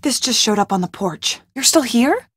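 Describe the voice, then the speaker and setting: A woman voicing a young, worried and sardonic friend of a detective in a video game, with an expressive, conversational delivery. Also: a little high, normal speed, breathy edge, dry recording, studio quality. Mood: urgent.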